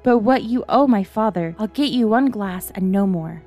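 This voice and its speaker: A woman narrating gives voice to a young innkeeper's boy in a bedtime storybook. He speaks plainly and firmly, close to the reader's own mellow voice. Faint music sits underneath. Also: mid-range voice, moderate pace, close to an everyday voice. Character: boy at an inn, firm and polite.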